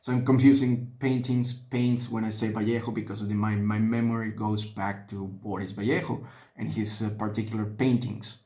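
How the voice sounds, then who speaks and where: A man's voice: on the low side, little pitch movement, moderate pace, warm tone. A man whose first language is Spanish talks with a Spanish accent, thinking aloud with many restarts while he paints miniatures at a desk. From Mexico. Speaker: hobby vlogger. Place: indoor hobby desk.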